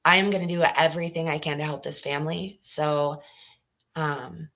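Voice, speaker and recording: A woman with a low voice talks straight to viewers on a true crime livestream. She is earnest and chatty, and her talk is full of fillers. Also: steady tone, normal speed, fairly bright tone. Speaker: true crime podcast host.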